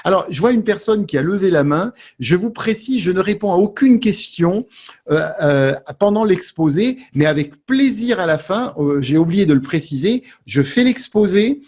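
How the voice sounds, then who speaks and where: A man delivers an explanatory talk in a distinctly high voice with a husky edge. He teaches in a didactic way, setting out questions and then answering them for his audience. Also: lively intonation, moderate pace, darkish tone. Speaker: presenter giving an online talk.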